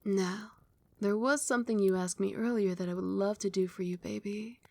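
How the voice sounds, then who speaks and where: A woman voicing a doting, possessive female vampire queen in an ASMR roleplay, speaking in a soft, soothing whisper close to the mic. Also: mid-range voice, fairly even intonation, normal speed, gravelly, no echo, close to an everyday voice. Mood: tender.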